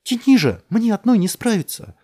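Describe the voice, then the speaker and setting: A man voicing a desperate, commanding woman who urgently shouts at others and insists they act. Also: sits high, sing-song, normal speed, pitched above the performer's own voice. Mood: frantic, shouting.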